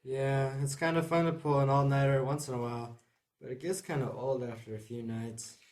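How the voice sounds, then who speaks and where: A woman's voice: rumbling low, steady tone, a little fast, darkish tone. A woman playing a blunt, level-headed young friend in an audio drama, frank and casual. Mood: drowsy, casual.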